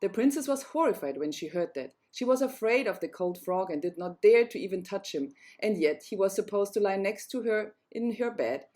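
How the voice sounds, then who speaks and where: A woman's voice: mid-range voice, animated, moderate pace, hardly any pauses, dark tone. A woman storyteller narrating a fairy tale, reading it aloud.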